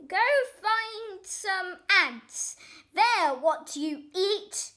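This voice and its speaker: A young reader voicing a spoiled rich boy in a storybook, bossy, petulant and shouting. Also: extremely high pitch, animated, drawn-out words, pitched above the performer's own voice, heavily acted. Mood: angry, bossy.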